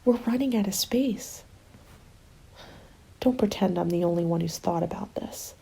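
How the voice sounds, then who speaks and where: A woman playing a weary survivor of a zombie winter in a soft, breathy, confiding ASMR roleplay. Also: lowish voice, moderate pace. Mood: frustrated, defensive.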